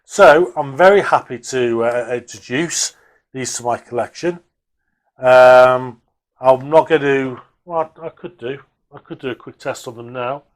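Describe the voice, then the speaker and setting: Male hobby presenter, mid-pitched. Casual, off-the-cuff workbench commentary, hesitant, thinking out loud. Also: measured pace.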